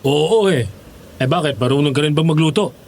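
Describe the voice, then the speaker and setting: A man's voice: a little high, normal speed, darkish tone. An actor playing a persuasive, glib male job recruiter with coaxing sales talk in a horror audio drama. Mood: sizing up, brisk.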